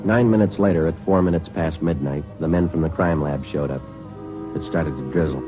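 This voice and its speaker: Deadpan low-voiced radio cop. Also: male voice, a little fast. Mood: narrating. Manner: flat, matter-of-fact, clipped. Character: terse deadpan male police detective. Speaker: police detective and narrator. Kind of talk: 1950s radio drama, clipped narration. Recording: muffled vintage radio broadcast with music.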